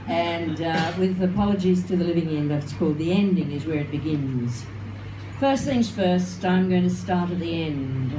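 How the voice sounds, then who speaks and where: Older lady doing spoken word poetry, slow n wry, kinda theatrical, far from mic in a roomy noisy space. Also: medium pitch. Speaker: performance poet and singer. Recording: roomy, noisy live recording, distant mic.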